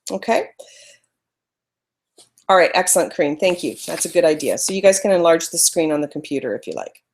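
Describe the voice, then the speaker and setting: A woman's voice: low-pitched, expressive, fairly quick. Chatty woman presenting a live webinar. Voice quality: loud voice. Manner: chatty, apologetic, talks through screen-sharing trouble. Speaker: webinar presenter teaching photography. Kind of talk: casual live webinar teaching.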